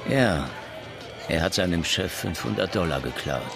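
A hard-boiled detective with a low voice that swings widely. He fires curt, mocking questions in radio crime play style, over a music bed. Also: lively intonation, moderate pace, gravelly. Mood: curt, suspicious. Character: tough, sardonic male Harlem police detective. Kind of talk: German radio crime drama acting.

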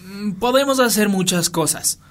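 Un hombre que interpreta en un radioteatro a un joven voluntario de radio, tranquilo, práctico y coloquial. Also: male voice, sits high, expressive, moderate pace, a bit bright. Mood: agreeable, practical. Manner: colloquial, agreeable. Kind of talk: radio drama acting.

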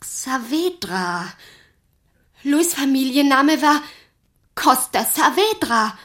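Shaken woman, high-voiced. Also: expressive, takes it slowly, a bit bright, exaggerated voice. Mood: agitated disbelief. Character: shaken, incredulous female client of a detective. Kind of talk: radio crime drama dialogue.

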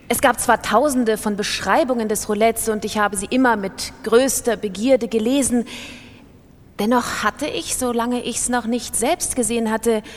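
A self-justifying gambler narrating a radio play, emphatic n scornful, big reverberant hall. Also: female voice, fairly high pitch. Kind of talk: literary first-person reading in radio drama.

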